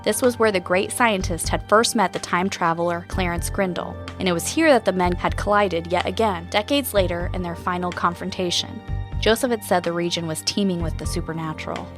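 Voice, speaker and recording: Casting: actress as a sincere, questioning female radio host and podcaster, slightly low register, for a scripted mystery drama. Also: fairly quick, a bit bright, natural voice. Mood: pensive. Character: earnest, doubting woman radio host and investigative podcaster. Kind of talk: scripted audio-drama narration and dialogue. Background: music.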